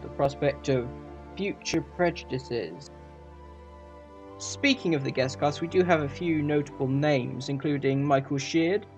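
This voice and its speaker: Male reviewer, raised pitch, informal scripted delivery in broken phrases, lo-fi sound, soft music behind. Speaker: audio drama reviewer. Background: faint music.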